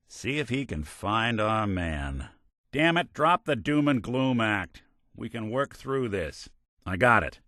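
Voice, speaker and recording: A world-weary android private eye with a low American voice that rises and falls with lively swings. He is gruff but decent, dryly sardonic, and speaks in old-time hard-boiled gumshoe style for a video game, recorded close and dry. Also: no echo, heavily acted. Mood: businesslike. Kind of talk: noir hard-boiled detective game dialogue.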